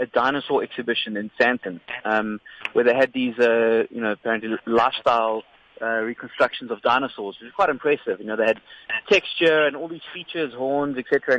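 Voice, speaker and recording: On a muffled, faintly noisy phone line, a man puts a question to a radio show. He talks quickly in a mid-pitched voice. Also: a bit bright. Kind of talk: asking a question on air. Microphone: telephone. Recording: dull, noisy phone call.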